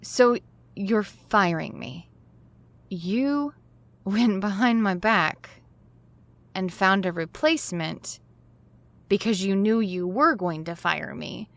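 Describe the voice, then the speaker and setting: A woman's voice: mid-range voice, lively intonation, slow, gravelly, pauses often, dry recording. An actress playing a flustered, harried woman in an audio drama, speaking expressively. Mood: hurt, angry. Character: flustered, harried talk show woman, often late.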